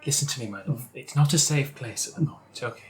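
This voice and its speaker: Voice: medium pitch, quick pace, lightly acted. A player as a protective, coaxing, indignant elder. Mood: concerned, indignant. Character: protective, indignant adult, fondly calling others darling. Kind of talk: improvised tabletop roleplay.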